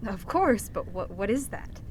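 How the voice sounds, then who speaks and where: A woman's voice: medium pitch, big pitch swings, measured pace, a little hiss or hum. A woman playing an earnest, flustered good-cop reporter with a hesitant, exasperated manner in a fiction podcast. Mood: probing. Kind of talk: audio fiction podcast acting.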